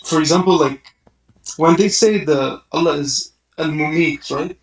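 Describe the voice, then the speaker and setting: A man's voice: on the high side, a little slow, reverberant, low-quality recording. A man whose first language is Arabic, calling in with a question, hesitant and full of fillers. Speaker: caller.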